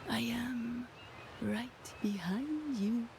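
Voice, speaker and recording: An actress playing a playful, theatrical madame of a mask shop in a video game, with genteel, flowery phrasing. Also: female voice, a little high, drawn-out words, background noise. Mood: teasing, playful. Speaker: shopkeeper.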